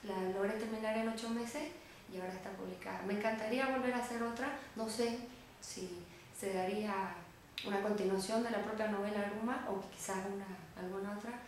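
A woman with a Bolivian Spanish accent talks reflectively in an interview, pausing and adding little tag questions. Her pitch sits mid-range. The room is strongly echoey, with faint noise underneath. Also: fairly even intonation. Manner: reflective, halting, often seeking confirmation with tag words. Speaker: interviewed writer. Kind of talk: interview answers, conversational. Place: small indoor room with bare wall, seated.

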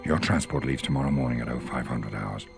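An actor playing a grave, wry Allied intelligence officer giving a measured, authoritative mission briefing in a war video game. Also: male voice, a bass voice, gravelly. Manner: measured, authoritative, dryly contemptuous of the enemy. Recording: music under the voice.

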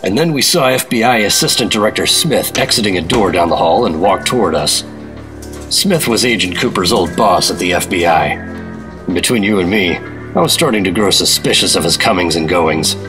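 A man acting as a hard-boiled fifties government agent in a radio drama. The voice sits low, with clipped, guarded formality, over prominent music. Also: lively intonation, normal speed. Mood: suspicious narrating. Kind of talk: 1950s radio drama acting and noir narration.

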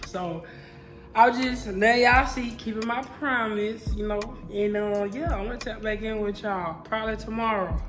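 Loud Southern woman vlogger, high voice. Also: steady tone, normal speed, over music. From Chattanooga, Tennessee. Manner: loud, laughing, playful trash talk. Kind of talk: casual chat with friends.

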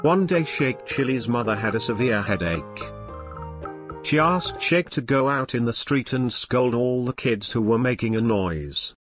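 A man tells a children's story in scripted narration. His voice sits at a medium pitch, with clearly audible music playing underneath. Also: lively intonation, unhurried. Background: background music.

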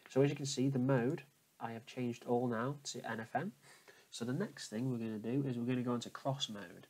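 A man with an average-pitched voice presents a casual how-to. He talks informally through each step as he goes, often repeating the instructions. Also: steady tone, brisk, a little mellow. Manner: informal, repetitive step-by-step explaining. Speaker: tutorial presenter. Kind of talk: casual screen-recorded how-to walkthrough.

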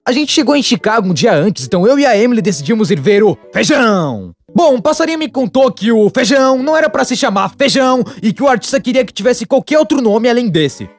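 A man plays an excitable young Brazilian cartoon storyteller. His voice sits very high and swings around with lively, comedic delivery. Light music plays behind his wry, chatty narration. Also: quick pace, few pauses, full character voice. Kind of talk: animated storytime narration, dubbed.

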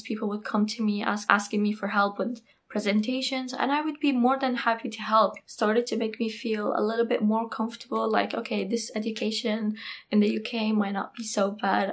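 Young saudi woman, arabic first language, storytime vlog in a small room, does all the voices in her story. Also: mid-range voice. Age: young adult. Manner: animated storytelling, acts out quoted dialogue. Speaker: architecture vlogger. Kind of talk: storytime monologue.

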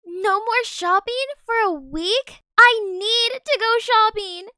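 A woman's voice: extremely high pitch, slow pace, pushed and bright, dry recording, studio quality, heavily acted. A young female voice actor playing a snappy, sassy teenage schoolgirl in an amateur game movie.

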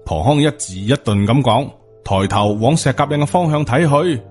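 A man's voice: medium pitch, lively intonation, normal speed, darkish tone. A man with a Hong Kong Cantonese accent reading scripted supernatural horror fiction as an audiobook narrator. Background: music.

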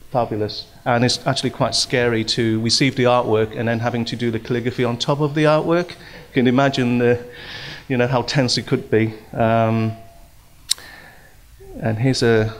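Hesitant man giving a conference talk. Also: medium pitch. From Hong Kong. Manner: hesitant, many fillers and restarts. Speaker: speaker at a symposium. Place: large hall with audience. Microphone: stand microphone.

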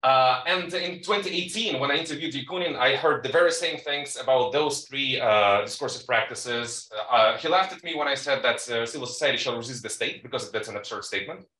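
A man presenting research at a conference panel, talking haltingly with many fillers and quick asides to the audience. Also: mid-range voice, pushed and bright. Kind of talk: academic presentation. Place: conference room. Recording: echoey room, distant mic.

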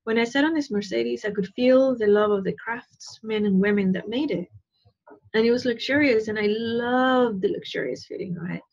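Husky-voiced woman teaching over a video call. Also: on the high side, normal speed, darkish tone. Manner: earnest, rambling, with frequent "right?" and "you know". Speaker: spiritual teacher. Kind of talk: casual talk on a video call. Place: living room at home, indoors. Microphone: wired earphone mic on a video call. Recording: dull lo-fi call audio.

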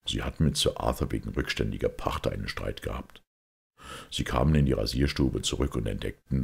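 A man playing a calm, shrewd priest detective who explains quietly and with compassion, in a measured audiobook reading. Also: rumbling low, creaky, slightly rough, full character voice.

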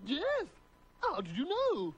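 High, gushing alien prince. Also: huge pitch swings, slow, lo-fi sound, exaggerated voice. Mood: gleeful. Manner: posh, gushing, old-fashioned British idioms. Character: pampered, enthusiastic alien prince with plummy exclamations. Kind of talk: cartoon voice acting.

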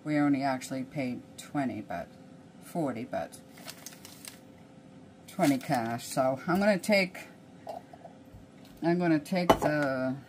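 Older canadian lady rambling to her phone at home, low slow voice, faint hum behind. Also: a little mellow. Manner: rambling, thinking aloud, chatty. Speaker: vlogger. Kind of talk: casual vlog chat. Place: small cluttered home kitchen and bedroom, indoors.

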